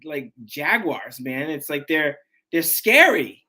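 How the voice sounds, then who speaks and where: A man's voice: a little high, sing-song, takes it slowly, pushed and bright. A man in his forties, a fitness trainer guest in a casual interview, talking animatedly with many restarts and saying "man" and "dude". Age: 40s.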